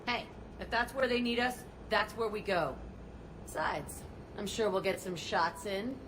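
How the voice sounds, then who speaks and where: A woman's voice: medium pitch, unhurried, noisy background, lightly acted. An actress playing a brash, foul-mouthed tattooed woman who teaches young soldiers, tough and mocking.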